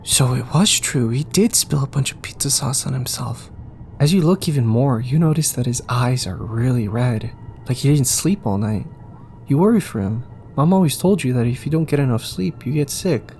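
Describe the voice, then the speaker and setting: A man's voice: medium pitch, animated, normal speed, gravelly, a little mellow. A man narrating a scripted audio story in the second person, with faint ambience behind him. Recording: faint background noise and ambience.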